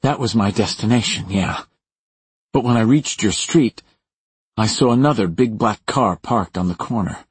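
A man voicing a haunted, defensive woman in an audiobook, urgent and halting, with a raised husky voice. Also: lowish voice, no echo. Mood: uneasy, confiding. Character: haunted, defensive woman recounting a traumatic night. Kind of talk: audiobook character dialogue.

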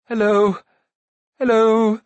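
A man voicing a naive, cheerful young prince in an audiobook, in a blithe, high-pitched manner. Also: little pitch movement, slow, a little mellow, no room sound, dull audio. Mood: dazed.

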